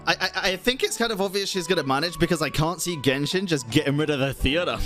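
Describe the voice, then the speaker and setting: Animated casual male game streamer. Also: a little high, fast, faint music behind. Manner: informal, slangy, reactive. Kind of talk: casual live gameplay reaction.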